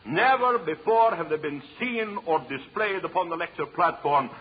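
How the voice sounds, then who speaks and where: A man's voice: fairly high pitch, animated, normal speed, a little hiss or hum, lightly acted. An actor playing a grandiose, macabre showman lecturer in an old radio drama, addressing his audience with dramatic oratory. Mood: solemn showmanship. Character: showman lecturer unveiling macabre jungle specimens. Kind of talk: 1940s radio acting, grand stage lecture. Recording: dull old radio recording.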